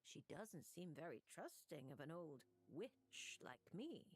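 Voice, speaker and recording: A woman voicing an enigmatic, teasing fortune teller in a video game, with a sly, theatrical, unhurried delivery. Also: deep voice, big pitch swings, full character voice. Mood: amused. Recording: game music behind. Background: game music.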